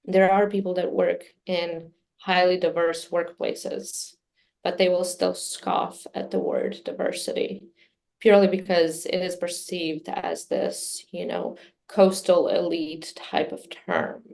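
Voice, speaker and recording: Woman, Serbian native speaker, low pitch, gravelly fry, measured answers. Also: little pitch movement, darkish tone. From Midwest US. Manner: measured, many fillers, speaks of herself personally. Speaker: communications director, interview guest. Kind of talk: casual interview answers.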